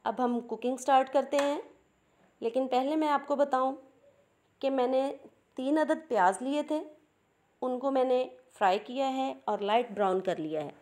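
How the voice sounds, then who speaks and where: A woman's voice: sits high, moderate pace, darkish tone. A woman with a Pakistani Urdu accent, hosting a cooking channel and patiently explaining each step of a home recipe.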